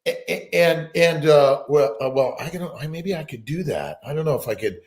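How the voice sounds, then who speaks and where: A man's voice: fairly high pitch, lively intonation, normal speed, reverberant. A man hosting a casual live-stream chat, rambling and upbeat, with many restarts. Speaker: host.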